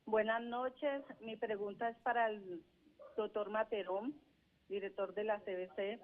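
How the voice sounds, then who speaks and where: A woman's voice: mid-range voice, steady tone, unhurried. A woman from Colombia calling in to a televised broadcast, asking an earnest, pleading question. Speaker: caller. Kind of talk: phoned-in question. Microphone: telephone. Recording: tinny phone line.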